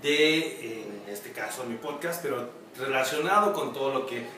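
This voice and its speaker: A man's voice: medium pitch, moderate pace, pushed and bright. Male, casual promo line, distant and roomy, light noise. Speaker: guest recording a promo. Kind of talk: short recorded testimonial. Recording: very echoey room, far from mic.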